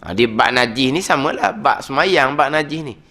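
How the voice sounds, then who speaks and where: A man, a religious teacher giving a weekly study lecture in a prayer hall, talking in a lively, conversational way with frequent "ha" fillers. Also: medium pitch, moderate pace.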